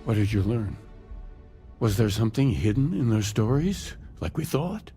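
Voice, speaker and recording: A curious, thoughtful video game companion. His mellow voice has a gravelly fry and moves up and down as he muses and questions. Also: lowish voice, expressive, moderate pace, soft background music. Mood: curious, musing. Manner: inquisitive, musing. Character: curious, thoughtful male scholarly ally puzzling out clues.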